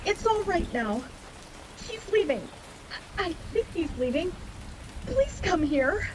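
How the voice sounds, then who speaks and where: A woman playing a calm, haunted mother in a radio play, soothing, earnest and cryptic, stubborn in what she believes. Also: extremely high pitch, slow pace, background noise, heavily acted. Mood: pained, reassuring.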